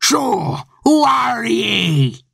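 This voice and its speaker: A teenage boy voicing a gruff, addled old pirate sea captain with a slow, raspy piratical drawl in an amateur audio play. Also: extremely high pitch, sing-song, fairly bright tone, voice pushed up, full character voice. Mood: muddled, jolly. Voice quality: raspy, gravelly growl.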